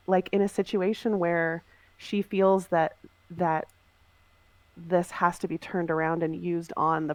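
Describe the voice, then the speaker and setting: Low-voiced woman thinking aloud. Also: no echo. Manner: thinks aloud with many restarts and fillers. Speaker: tabletop game player. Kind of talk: casual chat about a game session.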